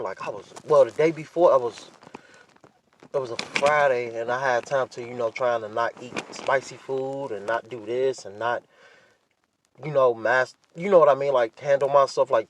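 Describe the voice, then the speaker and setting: A man's voice: medium pitch, slight background noise, rough recording. A man hosting a podcast, talking casually and candidly in blunt, profane slang and repeating himself for emphasis. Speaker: podcast host.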